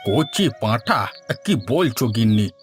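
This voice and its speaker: A grumbling, penny-pinching older husband from a cartoon village tale, played by a man. He complains in exclamations at an ordinary male pitch, with music playing behind him. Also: big pitch swings, unhurried. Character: grumbling, put-upon older village husband, tight with money. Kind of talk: cartoon dialogue acting.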